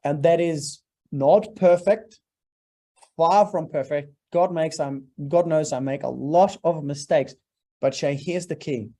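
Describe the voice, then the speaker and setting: Forties male guest from Norway, mellow tone, slightly higher pitch, earnest and preacherly. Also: slow pace. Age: 40s. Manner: earnest, preacherly, emphatic lists. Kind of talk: interview, motivational talk.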